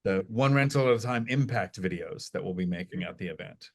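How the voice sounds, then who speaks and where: A man's voice: low voice, animated, fairly quick. A retired man chatting casually as a podcast guest, rambling and restarting his sentences often. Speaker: podcast guest, retired real estate investor.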